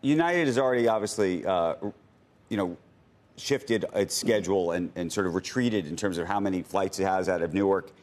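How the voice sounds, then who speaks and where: A man with an American accent working through roundabout, halting questions as a TV news anchor in a conversational style. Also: deep voice, darkish tone.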